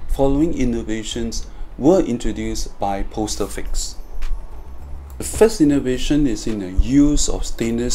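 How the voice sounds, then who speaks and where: A man's voice: on the low side, darkish tone. A man reading a scripted promotional narration as the presenter of a company video. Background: background music.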